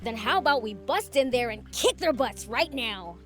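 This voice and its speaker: A high, youthful voice, for a scrappy game character. The delivery is casual, teasing and blunt, with a cocky edge. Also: female voice, very animated, normal speed, soft background music. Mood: laid-back, teasing. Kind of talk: video game cutscene acting.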